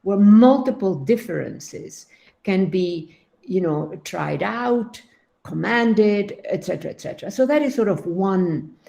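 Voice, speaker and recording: A woman's voice: medium pitch, lively intonation, unhurried, gravelly, mellow. An older woman born in the Netherlands and raised in Latin America, thinking aloud in an unscripted academic panel talk, with frequent restarts and "you know".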